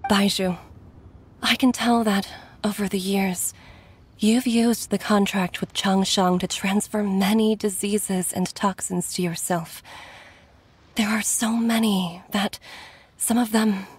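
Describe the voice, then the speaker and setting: A woman voicing a worried, tender doctor and mother who pleads softly, sometimes near a whisper, in a game cutscene. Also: medium pitch, fairly even intonation, slow, slightly rough. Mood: pleading. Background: music.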